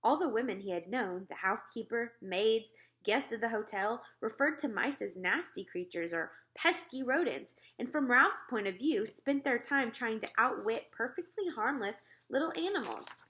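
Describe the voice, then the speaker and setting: A woman narrating a children's book aloud in an expressive storytelling style. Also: fairly high pitch, moderate pace.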